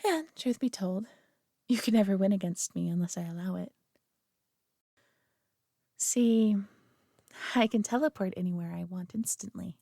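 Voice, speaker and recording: Slow, haughty alien woman, acted by a woman. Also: mid-range voice, gravelly, warm tone, no echo, studio quality. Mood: smug, teasing. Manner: haughty, condescending yet tender, deliberate. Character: proud, possessive, caring female superior alien being. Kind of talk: scripted roleplay audio drama monologue.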